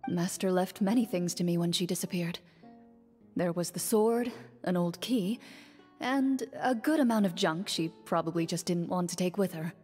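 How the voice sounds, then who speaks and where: A woman's voice: fairly low pitch, normal speed, darkish tone, lightly acted. An actress playing a composed young woman duelist who speaks in a dry, matter-of-fact way, in game dialogue. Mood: calm. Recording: game dialogue with long reverb and faint music.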